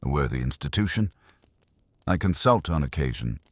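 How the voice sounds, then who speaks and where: A man narrating voices an authoritative doctor who closes doors politely. He is formal and guarded, with a very deep, lively-pitched delivery in a mystery audiobook. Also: normal speed, no echo, full character voice. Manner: formal, evasive, clipped. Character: guarded, authoritative male doctor, courteous but curt. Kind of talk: audiobook detective story.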